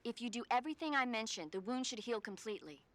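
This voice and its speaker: A woman playing a calm military paramedic in a video game, reassuring and instructing a wounded soldier. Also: a little high, fast talker. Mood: calm, instructive. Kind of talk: scripted game tutorial dialogue over radio.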